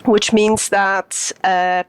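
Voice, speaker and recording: A woman from Poland, Polish her native tongue, speaks as a guest lecturer in a measured, academic way, pausing often on fillers and restarting phrases as she thinks. Her voice sits at a middle pitch. The recording is close and dry, with no room sound. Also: flat delivery, smooth, no room sound. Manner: thoughtful, many fillers and restarts. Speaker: guest, translation studies lecturer. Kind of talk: academic interview answer.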